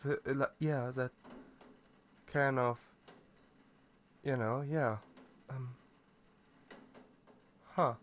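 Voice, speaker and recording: A man's voice: mid-range voice, steady tone, very slow, long pauses. A man playing a shy, clueless young catboy pet in a roleplay audio drama, hesitant and stammering as he talks to the listener. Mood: puzzled, accepting. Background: ambient noise, rain.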